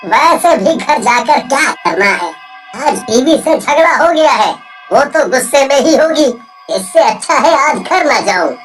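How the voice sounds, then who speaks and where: A woman voicing a high, earnest village man. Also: expressive, a little slow. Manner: earnest and plain-spoken. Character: brave, kind young village man. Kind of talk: cartoon voice acting. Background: soft music.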